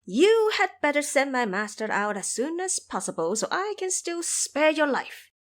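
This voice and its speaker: A woman voicing a brash, fiery monkey warrior in an audio drama, with a taunting, forceful manner. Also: sits high, very animated, moderate pace, pitched above the performer's own voice. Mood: furious, defiant.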